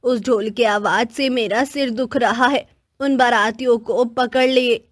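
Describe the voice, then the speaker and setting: A woman's voice: fairly high pitch, steady tone, measured pace, dry recording, studio quality. A woman voicing a cranky, scheming old village woman who whines and makes dramatic demands in a children's cartoon. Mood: indignant. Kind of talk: children's cartoon moral story acting.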